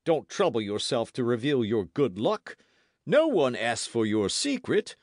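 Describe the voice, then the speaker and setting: A man voicing a boastful, loud musketeer in an audiobook, theatrical and full of exclamations. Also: medium pitch, sing-song, measured pace, no room sound, clean studio sound, voice pushed up. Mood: indignant, scolding. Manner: theatrical, exclamatory, swooping emphasis. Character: boisterous, boastful swaggering musketeer, loud and self-satisfied.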